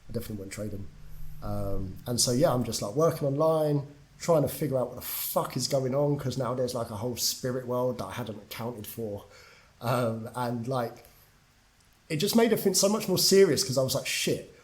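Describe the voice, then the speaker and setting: A young man from England tells his story as a podcast guest, candid and loose. He swears often and fills his sentences with "like" and "um", at a middle pitch. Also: animated, fairly quick, rough recording. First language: English. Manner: candid, swears freely, lots of fillers. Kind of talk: casual storytelling.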